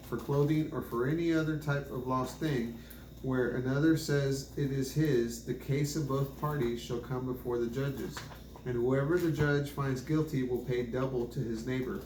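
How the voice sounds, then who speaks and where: A man reading scripture aloud in a plain, measured way, now and then stumbling over a phrase. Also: medium pitch, a little mellow. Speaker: scripture reader. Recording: roomy echo, faint background noise.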